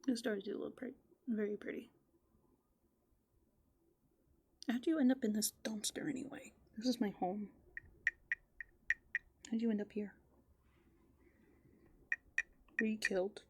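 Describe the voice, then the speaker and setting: A woman playing a playful, easygoing raccoon in an ASMR roleplay, soft-spoken and casual, often dropping into whispers. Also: medium pitch, fairly even intonation, brisk, long pauses, breathy edge. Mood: soft, curious. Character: playful, easygoing female raccoon living in a dumpster. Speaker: ASMR host.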